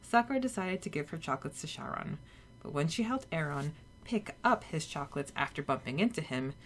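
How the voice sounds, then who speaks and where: A woman's voice: sits low, fairly bright tone, slight background noise. A woman hosting a podcast, chatting with fillers and then reading a summary steadily. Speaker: podcast co-host. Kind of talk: casual chat and read chapter summary.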